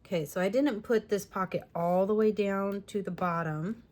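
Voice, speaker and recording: A woman with a lingering raspy voice talking casually through a scrapbooking tutorial at home, with chatty, apologetic asides while she works. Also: mid-range voice, moderate pace, darkish tone. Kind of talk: casual crafting tutorial talk. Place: home craft room. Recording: faint room noise from fan. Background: fan running.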